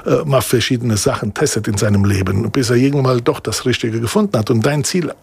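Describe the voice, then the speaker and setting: A man's voice: medium pitch, fast, vocal fry, darkish tone, slightly rough. A man hosting a casual radio interview, chatty and playful, whose first language is Italian. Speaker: radio host.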